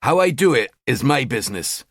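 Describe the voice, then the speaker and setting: A man's voice: mid-range voice, sing-song, brisk, vocal fry, fairly bright tone, no room sound, clean studio sound, heavily acted. A man voicing a cynical middle-aged detective with a Scottish accent, grumbling and blunt.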